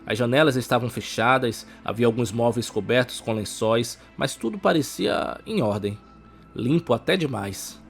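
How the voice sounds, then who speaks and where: A man's voice: medium pitch, normal speed, gravelly. A man with a Brazilian accent reading a scripted suspense audiobook as narrator, with music behind him.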